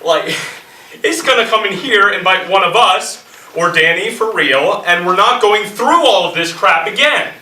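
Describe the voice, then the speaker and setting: A man's voice: sits high, animated, moderate pace, full character voice. A man playing a hot-headed, suspicious, sarcastic survivor in an amateur comic zombie stage play. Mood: sarcastic, dismissive. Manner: loud, indignant, sarcastic. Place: indoor stage performance, recorded from afar. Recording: distant, roomy live stage recording, faint noise.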